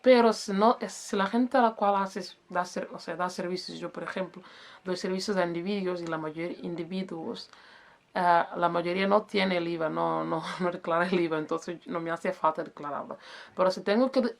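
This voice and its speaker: A woman's voice: fairly low pitch, creaky. Chatty woman, Portuguese native speaker. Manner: chatty, self-correcting, searches for words. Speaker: business advice vlogger. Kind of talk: casual explainer.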